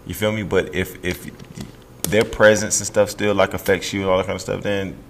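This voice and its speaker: Man, podcast co-host, very deep voice, blunt and slangy, faint background noise. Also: level tone, a little fast, vocal fry, fairly bright tone. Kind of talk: casual chat.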